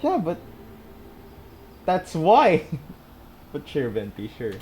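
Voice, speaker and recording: A woman's voice: low-pitched, wildly swooping pitch, slow, a little mellow, slight background noise. A woman giving casual gameplay commentary, making laid-back asides to the characters. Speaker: gaming video creator.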